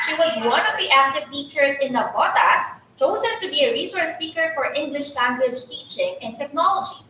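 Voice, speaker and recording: A woman with a Filipino accent co-hosting a teacher training webinar, switching between English and Tagalog in a lively manner. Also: sits high, heavy reverb, recorded from a distance, slight background noise, poor audio. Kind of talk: bilingual webinar hosting, reading introductions.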